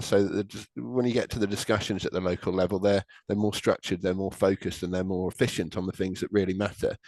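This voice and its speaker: A young man from Wales, speaking as a government official on a webinar panel. His voice sits very low, and the sound is close and dry with no room around it. He talks in a careful, hedging way, full of fillers and restarts. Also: a little mellow, dry recording, low-quality recording.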